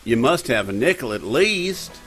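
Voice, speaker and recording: A man's voice: mid-range voice, sing-song, normal speed, fairly bright tone, faint music behind. An American man playing a cruel, jeering cowboy henchman in an old-time radio Western. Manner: jeering, drawling.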